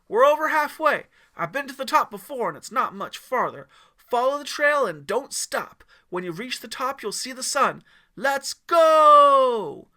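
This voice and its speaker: A man's voice: extremely high pitch, very animated, unhurried, bright, forceful tone, voice pushed up, exaggerated voice. A man playing a firm, encouraging dad who leads a scout troop, speaking with a commanding manner. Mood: loud, rallying.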